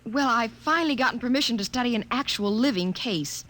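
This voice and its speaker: A young actress playing a nervous but dutiful genetics professor in 1950s radio drama, brisk and proper with small hesitations. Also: female voice, on the high side, fairly bright tone, lightly acted. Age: young adult.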